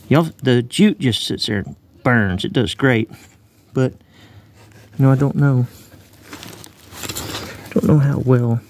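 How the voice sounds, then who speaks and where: A man's voice: medium pitch, vocal fry, darkish tone, husky. A man talking casually through an outdoor demonstration, rambling as he works with his hands. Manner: rambling, folksy, talks while working with his hands. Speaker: bushcraft video maker. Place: outdoors on the ground near a road. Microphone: phone camera held close. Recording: phone recording outdoors with faint traffic noise. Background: faint road and outdoor noise.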